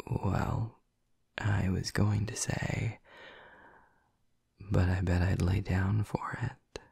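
Male actor as a doting vampire boyfriend: low, breathy, slow whispers, teasing and intimate. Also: level tone, no room sound, studio quality, heavily acted. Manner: teasing and affectionate. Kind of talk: ASMR audio roleplay.

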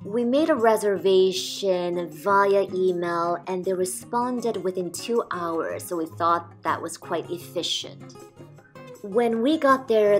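A woman calmly narrating a restaurant food review as a voice-over, describing each dish in a measured way. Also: lowish voice. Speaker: food vlogger.

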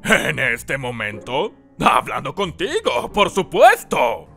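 Flamboyant circus ringmaster cartoon, high bright voice, super showy, music behind. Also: male voice, wildly swooping pitch, slow, creaky, exaggerated voice. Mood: chipper, showy. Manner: showy, exclamatory, grandiloquent. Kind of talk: theatrical cartoon dub acting.

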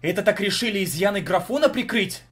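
A man's voice: sits high, animated, fast, breathy edge. A young man delivering sarcastic comedic review commentary with a mocking, profane manner. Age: young adult. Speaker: video reviewer.

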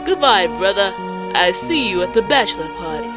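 A woman's voice: mid-range voice, very animated, normal speed, over music, lightly acted. A woman voicing a smug, teasing cartoon skeleton brother with dramatic delivery in a fan comic dub.

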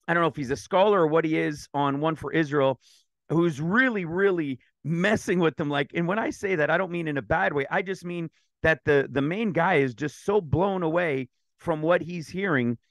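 Man, Bible teacher, high voice, dry close sound, a touch raspy today, eager, talks in loose runs with restarts. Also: dry recording. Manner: excited, rambling with restarts. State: slightly raspy throat. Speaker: ministry teacher. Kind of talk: screen-share Bible teaching.

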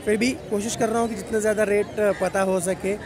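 A man's voice: high-pitched, little pitch movement, quick pace. A man in his thirties vlogging casually in a busy outdoor fish market, filming himself at arm's length and chatting to the camera. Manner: chatty, asks vendors prices. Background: crowd of market chatter.

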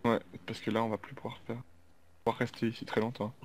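A man's voice: mid-range voice, little pitch movement, fast talker, close to an everyday voice. A man playing an uneasy, talkative zombie-apocalypse survivor in an improvised video game roleplay, rambling urgently in informal speech. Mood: worried. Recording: background noise under the voice.

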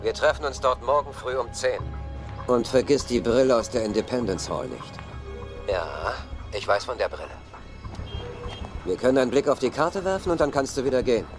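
A man's voice: medium pitch, animated, moderate pace, natural voice. Male antagonist acted, mid register, cool and confident, film drama with score. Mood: cool, mocking. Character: smooth, confident male villain making demands. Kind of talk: dubbed film acting. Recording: film soundtrack with music.

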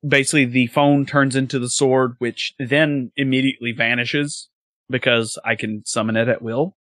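Casting: mid-pitched male player, chatty and a little unsure, dry close sound. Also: steady tone, normal speed, fairly bright tone, no echo. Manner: rambling, hesitant, laughs easily. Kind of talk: casual tabletop roleplay chat.

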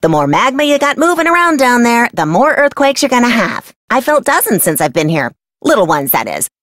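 A woman's voice: fairly high pitch, sing-song, fairly quick, fairly bright tone, dry recording, clean studio sound. A woman playing a driven, easily sidetracked insect researcher in a video game, wordy, emphatic and bossy. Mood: chatty, absorbed. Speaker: game character, field entomologist. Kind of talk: scripted video game voice acting.

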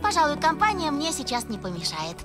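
High-voiced earnest young pony. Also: female voice, big pitch swings, fairly quick, music in the background. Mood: dejected. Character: young female cartoon pony, earnest and expressive. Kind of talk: animated cartoon dub acting.

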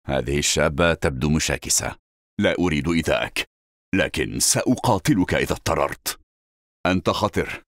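An actor playing a vengeful, honorable desert warrior in a fighting game, with solemn, intense, formal delivery. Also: male voice, sits low, fairly bright tone, no echo, studio quality. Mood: solemn, resolute. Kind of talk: video game battle lines and fight efforts.

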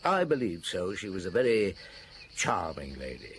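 Lively, swooping grumpy detective chief. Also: male voice, sits low, normal speed. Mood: brooding recollection. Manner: probing, hesitant, with sighs and murmured hums. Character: gruff, grumpy French police chief inspector, pipe smoker. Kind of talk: radio drama acting. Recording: noisy old radio drama broadcast.

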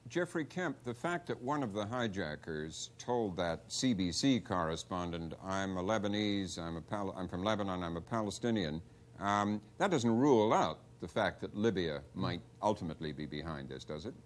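Middle-aged male anchor, low-pitched, measured formal read in a studio. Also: lively intonation. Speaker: news anchor. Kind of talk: scripted news reading and interview questions. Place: television news studio.

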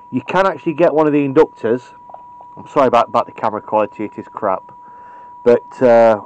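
British male, informal workbench tutorial presenter, blunt and opinionated, somewhat lower-pitched everyday delivery on muffled camera audio. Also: darkish tone. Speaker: radio repair hobbyist presenting a tutorial. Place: indoors at a cluttered electronics workbench. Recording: dull lo-fi camera microphone.

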